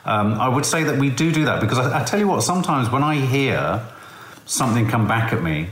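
A man co-hosting a casual parenting podcast, talking openly and with expressive, rambling asides. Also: mid-range voice, a little fast. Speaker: podcast co-host. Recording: roomy echo.

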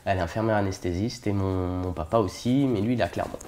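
A man's voice: low-pitched, quick pace, a little mellow. Young Frenchman, jokey and self-deprecating. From France. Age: 20s. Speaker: interview guest. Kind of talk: casual conversational interview.

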